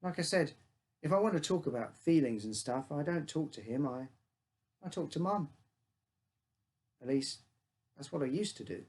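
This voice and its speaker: A man's voice: a little high, lively intonation, normal speed, pauses often, a little mellow. A man with a British accent reading his own scripted short story, narrating in the first person as a child.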